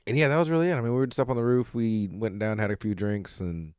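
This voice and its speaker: Lowish voiced guy on a podcast, laid back, slangy, dry recording. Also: steady tone, quick pace, a little mellow, smooth, no room sound. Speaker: podcast co-host. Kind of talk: casual group chat.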